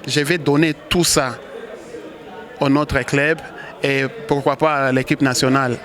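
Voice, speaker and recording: A man from Congo speaks as a football manager in an interview. He talks slowly in a flat, even voice, stopping often to restart his sentences. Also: medium pitch, a faint crowd. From Congo (DRC). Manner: halting, many restarts and hesitations. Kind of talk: interview answers.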